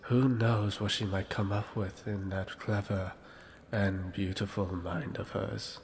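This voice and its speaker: A male actor voices a scheming, patronizing man of a fantasy court. He speaks slowly and evenly with quiet menace, his voice trailing long reverb over some ambient noise. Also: fairly low pitch, flat delivery. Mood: sly, menacing. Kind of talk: scripted fantasy audio drama.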